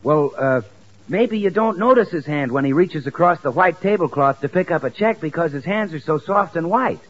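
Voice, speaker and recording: An actor playing a cheerful, evasive announcer friend in a 1940s radio comedy, with an upbeat, smooth-talking salesman's manner. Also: a little high, animated, moderate pace. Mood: cheerful selling. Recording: muffled vintage 1940s radio recording.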